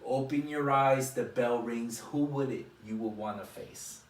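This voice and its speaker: A man's voice: mid-range voice, a little slow. A man hosting a casual boxing interview, building his questions up in short, separate phrases. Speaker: boxing show host and interviewer. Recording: echoey room, distant mic.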